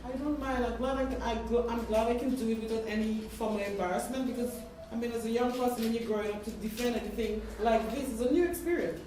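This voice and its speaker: A woman with a fairly high voice shares a personal account in a group discussion. She tells it in an animated way. The recording is very echoey, picked up from a distance, with clear noise. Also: fast talker, hardly any pauses. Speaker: community member speaking at a gathering. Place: echoey indoor room. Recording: very echoey room, distant mic, noisy.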